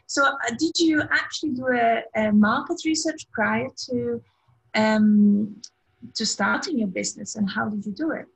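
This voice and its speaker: A woman moderates a panel over a video call in a high, husky voice with some fry. She asks her questions hesitantly, with many fillers. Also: unhurried, low-quality recording.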